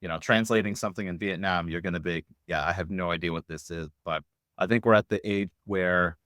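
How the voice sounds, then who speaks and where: Male interviewer, notably low voice. Loose, thinking-aloud conversational delivery, dry recording. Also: fairly even intonation, brisk, fairly bright tone, no echo. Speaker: podcast interviewer.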